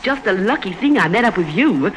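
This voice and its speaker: A woman's voice: mid-range voice, very animated, brisk, muffled recording, close to an everyday voice. A woman playing a grateful, well-to-do married lady, effusive and fussy, in theatrical 1950s radio drama style. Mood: gushing. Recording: noisy old 1950s radio recording.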